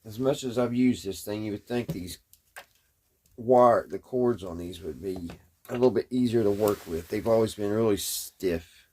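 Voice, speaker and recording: Low-voiced man, folksy and halting. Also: mellow, a little hiss or hum. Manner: folksy, halting, thinking aloud. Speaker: hobbyist presenter. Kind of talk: casual hands-on demonstration to camera.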